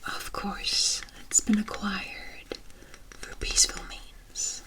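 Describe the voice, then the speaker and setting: A breathy, whispered voice belongs to a kindly forest witch played by a woman. She speaks very slowly and deliberately, with a soothing, knowing manner suited to an ASMR roleplay. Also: close to an everyday voice. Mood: reverent, instructive. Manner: slow, hushed, soothing, deliberate.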